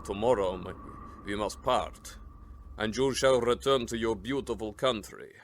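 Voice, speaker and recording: An actor playing an imperious, sinister vampire count in a radio drama, commanding yet formally courteous. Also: male voice, on the low side, a little slow, a little hiss or hum, lightly acted. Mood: controlled, courteous.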